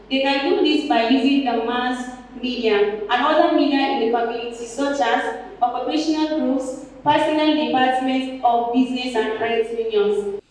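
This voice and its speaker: A woman reading a text aloud in a large echoey room. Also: high-pitched. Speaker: read-aloud participant. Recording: echoey hall, distant microphone.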